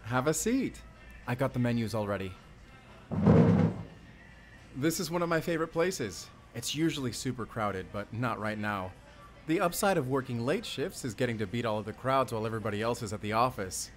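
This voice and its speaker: Man playing a young dad, friendly, bashful, playful, mid-pitched, audio roleplay, talking to the listener. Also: expressive, brisk, faint music behind, close to an everyday voice. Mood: cheerful, playful. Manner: chatty, bashful chuckles. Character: friendly, playful single father on a date. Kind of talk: intimate audio roleplay acting, addressing the listener.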